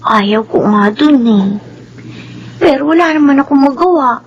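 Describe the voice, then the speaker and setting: A Filipino woman playing a timid, unhappy high school girl in a radio drama, plaintive and pleading. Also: lively intonation, a little slow, lo-fi sound, a little hiss or hum. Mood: glum, confiding.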